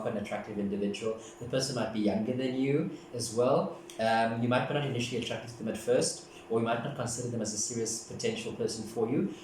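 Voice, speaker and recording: A man from Africa, giving a casual, gentle, meandering tarot reading and often repeating himself. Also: fairly low pitch. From South Africa. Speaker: tarot card reader. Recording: very echoey room, distant mic, background noise.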